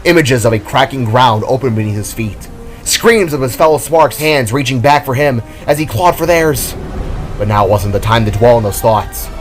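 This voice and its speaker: A man's voice: medium pitch, moderate pace. A man reading scripted adventure fiction as the narrator, in a dramatic storytelling style. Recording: background music under the voice. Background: music.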